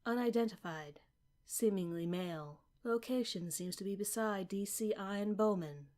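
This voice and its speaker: A woman's voice: mid-range voice, moderate pace, a little mellow. Woman narrator, formal and clinical. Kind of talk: scripted horror narration, read as an official transcript.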